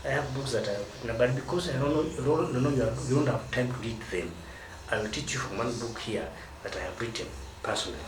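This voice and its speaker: A man's voice: mid-range voice, quick pace. A Kenyan man giving marriage advice as a teaching talk, stressing key words and drawing them out. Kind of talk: teaching monologue. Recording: roomy echo from a distance, noisy.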